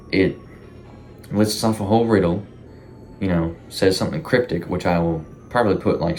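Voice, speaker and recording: A man giving casual, rambling, informal commentary as a YouTube host, with animated reactions. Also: sits low. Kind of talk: casual commentary on a horror series.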